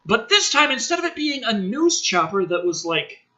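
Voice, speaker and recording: A man's voice: high-pitched, sing-song, moderate pace, bright, forceful tone, muffled recording. A man hosting a channel, giving a sarcastic, theatrical script reading with exasperated, foul-mouthed commentary. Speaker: host of a gaming and film channel.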